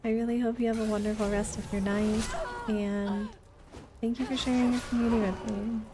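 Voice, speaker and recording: High-voiced woman streamer with a creaky edge. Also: little pitch movement, fairly quick, noisy background. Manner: chatty, reading and answering viewer comments. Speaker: video game streamer. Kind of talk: casual live stream chat while playing. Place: indoors, live game stream with music behind.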